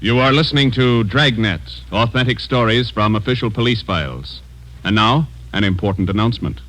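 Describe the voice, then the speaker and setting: A man's voice: fairly low pitch, fairly quick, music in the background, low-quality recording. A man announcing a programme in formal 1940s radio style.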